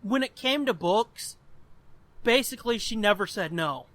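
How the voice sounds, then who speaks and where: A man's voice: high-pitched, animated, measured pace, fairly bright tone, no room sound. A man in his twenties telling a story on a podcast in a rambling, casual way, swearing often. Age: 20s. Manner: profane and rambling. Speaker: podcast co-host. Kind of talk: casual chat.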